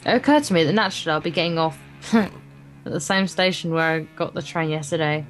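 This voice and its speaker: A woman with a slightly low voice reads a story game aloud as a casual co-player. She trips on the odd word and carries on. Guitar music plays softly behind her. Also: fast, rough recording. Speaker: guest co-player reading the narration aloud. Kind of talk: reading a visual novel aloud, informal let's play. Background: game's guitar music.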